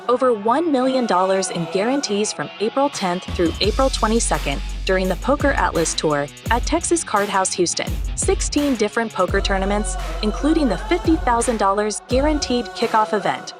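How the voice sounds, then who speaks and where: A woman's voice: medium pitch, expressive, moderate pace, few pauses. A woman reading a scripted promotional ad in an upbeat, punchy way. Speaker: ad announcer. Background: background music.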